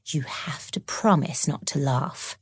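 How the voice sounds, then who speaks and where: A woman's voice: deep voice, slow, husky, no echo, clean studio sound, heavily acted. A woman voicing an earnest, accident-prone young schoolgirl witch who confides sheepishly, in a children's audiobook. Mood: shy. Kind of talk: children's audiobook character acting.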